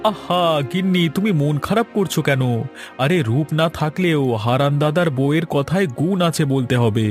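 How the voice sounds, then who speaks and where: A man voicing a doting, flattering and mocking village husband in a cartoon, with an exaggerated wheedling manner. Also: a little high, normal speed, lightly acted. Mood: teasing. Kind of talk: animated cartoon voice acting. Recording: music under the voice.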